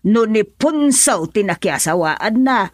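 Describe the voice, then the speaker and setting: A woman's voice: mid-range voice, expressive, measured pace, no echo, clean studio sound. Stern, scolding older mother-in-law. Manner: scolding, reproachful. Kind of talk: Ilocano radio drama acting.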